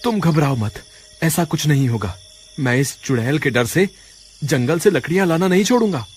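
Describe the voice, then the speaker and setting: Man acting a high-pitched, fretful cartoon woodcutter. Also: expressive, moderate pace, natural voice. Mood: reassuring. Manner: exclaiming, fretful. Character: frightened village woodcutter, a husband, jumpy but stubborn. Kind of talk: Hindi horror cartoon dialogue. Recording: music behind the voice.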